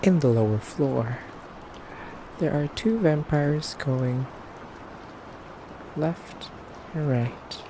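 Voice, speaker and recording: Man acting as a haughty male vampire, slow unhurried delivery, mock-polite, condescending, intimate ASMR roleplay, noisy ambient background. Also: a little high. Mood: explaining, measured. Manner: hesitant pauses, condescending mock politeness, soft chuckling. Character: haughty, teasing pure-blood male vampire interrogating a human captive.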